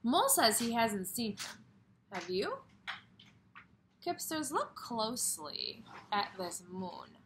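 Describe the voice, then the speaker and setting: A woman's voice: fairly high pitch, sing-song, slow, noisy background. A woman kindergarten teacher reading a story aloud expressively and putting questions directly to young children.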